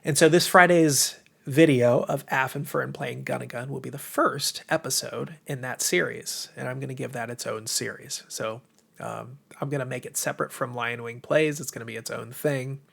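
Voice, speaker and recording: Gravelly American man hosting, voice giving out. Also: mid-range voice, moderate pace, fairly bright tone. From United States. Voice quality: tired, strained voice. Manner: enthusiastic, informal, rambling. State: voice going, slightly hoarse. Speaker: livestream host, game publisher. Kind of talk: casual livestream chat to viewers.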